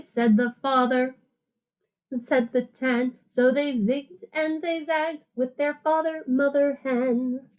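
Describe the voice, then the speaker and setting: A woman teacher reading a picture book aloud to children in an animated, sing-song way, with simple, casual explanations. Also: sits high, low-quality recording.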